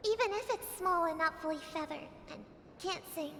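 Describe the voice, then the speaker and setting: A woman playing a tender, idealistic little girl with a soft, earnest, innocent manner in a video game. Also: very high voice, moderate pace, slight background noise. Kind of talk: scripted video game voice acting. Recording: hall reverb under clear music.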